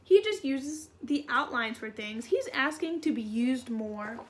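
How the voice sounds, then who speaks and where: A woman's voice: high-pitched, lively intonation, unhurried. A woman reading a storybook aloud for children, adding simple explanations as she goes. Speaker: storybook reader.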